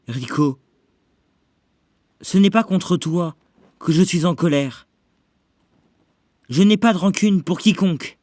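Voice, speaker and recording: Man acting a high-voiced, cocky young sorcerer. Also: darkish tone, no echo, studio quality. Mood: serene, exalted. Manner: theatrical, taunting, self-satisfied. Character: cocky, euphoric, godlike young male sorcerer. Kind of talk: French anime fan dub acting.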